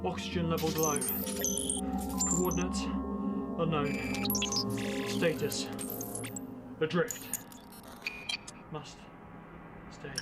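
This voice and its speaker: A stranded ship engineer gasps out short fragments, voiced by a man in a science-fiction audio drama. He speaks very slowly and unsteadily. Loud music and a ticking clock swell behind him. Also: fairly high pitch, fairly even intonation. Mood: dazed, strained. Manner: halting, breathless, clipped words. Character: dazed injured male ship engineer, alone and struggling.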